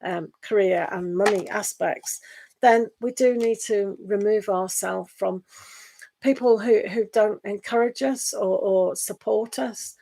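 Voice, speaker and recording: Hoarse woman with a gravelly voice. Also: medium pitch, a little slow. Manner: meandering, gentle, reads card texts aloud. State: losing her voice, hoarse. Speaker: tarot reader. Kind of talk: live online tarot reading.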